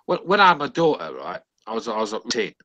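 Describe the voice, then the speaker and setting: A man hosts a loose, casual chat in a bright, forceful voice. His intonation is very lively, and he cuts in and repeats his phrases. The sound is dry and close. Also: fairly low pitch, quick pace, no echo.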